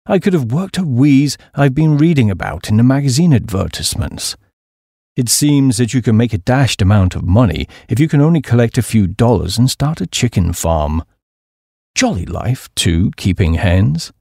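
A man voicing a dejected, penniless young English gentleman with a British accent and a downcast delivery. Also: medium pitch, sing-song, moderate pace, creaky, slightly rough, no room sound, studio quality, full character voice. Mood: despondent, wistful.

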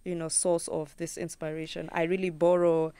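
Young Kenyan woman, interview guest, lively storyteller; very clean voice, dead-dry studio. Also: lowish voice, moderate pace, clean tone, dry recording. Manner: animated storyteller who acts out her own thoughts in quotes. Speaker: guest singer-songwriter. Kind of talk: casual interview chat.

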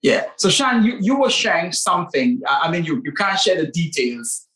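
A man's voice: on the high side, sing-song, moderate pace, sharp tone. A man co-hosting a Bible talk show, speaking emphatically and repeating words to drive his points home. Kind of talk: preacherly faith talk. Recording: roomy echo.

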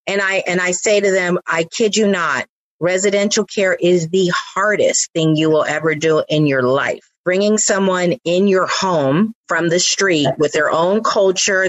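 A woman with a lowish voice hosting an interview, engaged and quick with short affirmations. Her voice is recorded close and dry, with no room sound. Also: dry recording. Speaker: interview host. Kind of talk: conversational interview.

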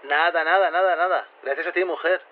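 A man's voice: fairly high pitch, fairly even intonation, a little fast, lightly acted. An actor playing a flustered, careful male researcher who answers interview questions hesitantly, repeating himself. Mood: earnest, flustered. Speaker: interviewee on a radio programme. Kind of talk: spontaneous-sounding phone-in interview. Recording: dull, noisy radio link.

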